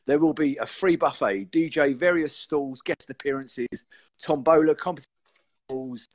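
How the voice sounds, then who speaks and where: A man, hoarse and croaky, hosting a casual live stream show and chatting in a rambling way. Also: fairly high pitch, unhurried. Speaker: podcast host.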